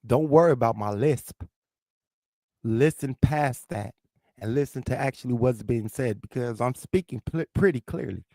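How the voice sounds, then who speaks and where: A man with a noticeable lisp and a St. Louis accent rants on his livestream, answering chat comments in a confrontational, profane way. His voice sits mid-range with a gravelly edge. The sound is dry and close, with no room echo. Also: mid-range voice, moderate pace, warm tone. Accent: St. Louis, American South Midwest. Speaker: livestream host.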